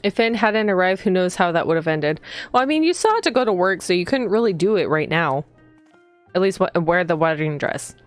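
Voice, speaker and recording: A woman's voice: lowish voice, animated, brisk, no room sound. A woman streaming a game, chatting casually and reading aloud in a playful, teasing manner. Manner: playful, teasing, giggly asides to viewers. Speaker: streamer playing a visual novel. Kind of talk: casual live gameplay commentary and reading aloud. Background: game music.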